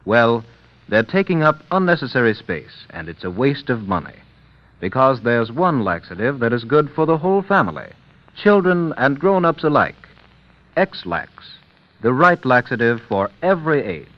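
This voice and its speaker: Animated old-time radio ad announcer, male. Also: medium pitch, normal speed. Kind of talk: scripted 1930s radio commercial. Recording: dull old radio recording, music.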